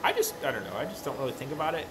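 Man in his thirties, rambling casual chat, lively pitch, air-conditioner hum in the background. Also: racing. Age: 30s. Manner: rambling, chatty, trails off and self-corrects. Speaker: streamer. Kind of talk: casual chat with live chat while crafting. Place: workshop room with a worktable.

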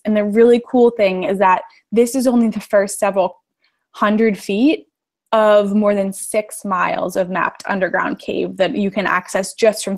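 Young woman, American accent, average pitch, informal classroom presentation, casual step-by-step explaining, live-streamed talk. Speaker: photographer and cave diver giving a classroom talk. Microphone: live stream video call.

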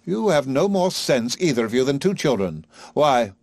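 A man's voice: mid-range voice, big pitch swings, moderate pace, a little mellow, no room sound, studio quality, voice pushed up. A man voicing a composed, drily reproving older woman. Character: calm, dry-witted older mother, composed.

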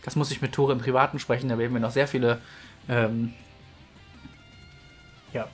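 A man's voice: mid-range voice, little pitch movement, moderate pace, soft background music. A man chatting casually while playing a video game, rambling and self-mocking with frequent laughs. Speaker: let's play co-host. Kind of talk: casual gaming chat.

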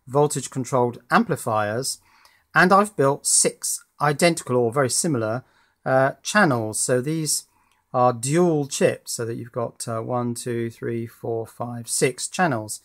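A man's voice: mid-range voice, a little slow, fairly bright tone. A man presenting an informal hands-on electronics demonstration in a matter-of-fact, explanatory way.

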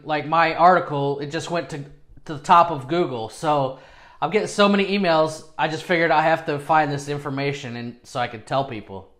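A man's voice: on the high side, a bit bright. A man in his thirties presenting a video casually, with an informal, self-deprecating manner, recorded indoors in a small home studio.

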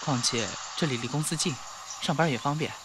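A man acting a heartbroken, weary, gentle young man in a Mandarin audio drama, restrained and wistful. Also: on the high side, a little fast, background noise, natural voice. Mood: calm, friendly. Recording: music behind the voice.

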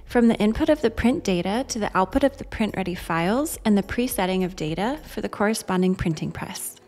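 A woman reading a scripted software demonstration in a measured, instructional way. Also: medium pitch, expressive. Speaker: software demo narrator. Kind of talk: scripted tutorial narration. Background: background music.

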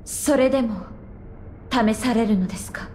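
An actress playing a gentle, sorrowful temple priestess in a video game, with formal, grave, hushed delivery. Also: female voice, a little high, measured pace, a little hiss or hum, lightly acted. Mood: solemn. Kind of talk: video game drama acting. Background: music.

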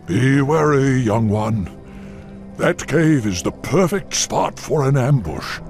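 An actor playing a huge, wise old bull-man chieftain in a video game, deep, gravelly, measured and solemn. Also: expressive, a little mellow, soft background music. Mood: cautioning.

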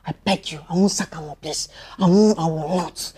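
A woman playing a smitten husband who stammers and begs softly, over music in a comedy skit. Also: fairly low pitch, steady tone, moderate pace, lightly acted. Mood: begging. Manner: soft, stammering, begging. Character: pleading smitten husband, man, grown.

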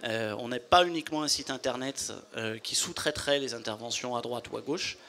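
A man in his forties, a company founder, explaining his business in a measured way into a handheld mic at a trade fair studio booth. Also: medium pitch, bright, forceful tone.

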